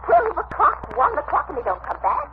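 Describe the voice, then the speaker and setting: A woman's voice: extremely high pitch, wildly swooping pitch, fairly quick, narrow, tinny audio, very noisy, exaggerated voice. Older working-class English landlady, aggrieved and voluble, wildly swooping, thin vintage radio recording. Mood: breathless, aggrieved. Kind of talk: old-time radio drama acting.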